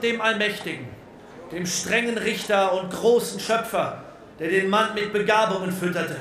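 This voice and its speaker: A man's voice: high-pitched, fairly bright tone, slight background noise. An actor playing a sombre showman who declaims like a preacher in biblical style, in a radio drama. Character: sombre, preacher-like male showman reciting a dark parable. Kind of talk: German radio drama acting. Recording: echoey stage with music behind.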